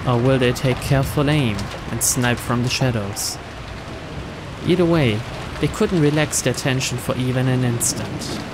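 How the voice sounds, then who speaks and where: A man reading visual novel narration in a steady, measured way. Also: medium pitch, background noise.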